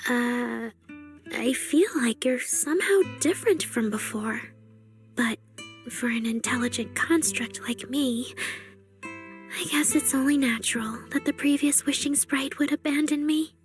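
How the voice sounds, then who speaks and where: A woman's voice: high voice, unhurried, faint music behind. A woman playing a forlorn, self-doubting young android idol in a video game, hesitant and fragile. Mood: uneasy, sad. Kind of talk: dramatic video game voice acting.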